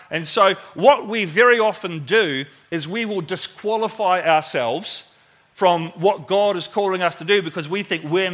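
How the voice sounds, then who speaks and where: A male preacher with a high, forceful voice addresses his congregation. He is informal and enthusiastic, mixing conversational teaching with jokes and questions to the listeners. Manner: enthusiastic, joking, asks the audience questions. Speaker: preacher speaking to a church congregation. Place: speaking live to a gathering at church.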